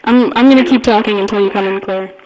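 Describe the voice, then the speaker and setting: A woman giving an informal talk, telling a personal story in a halting, amused manner. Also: medium pitch, darkish tone. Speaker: conference speaker, radio hacker community member. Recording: echoey hall with long reverb.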